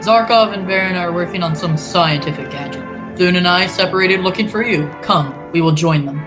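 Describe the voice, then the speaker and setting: A man's voice: high voice, moderate pace, natural voice. A teenage male student actor playing a heroic, determined young space adventurer in old-time radio serial style. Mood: gallant, assured. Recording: music behind the voice.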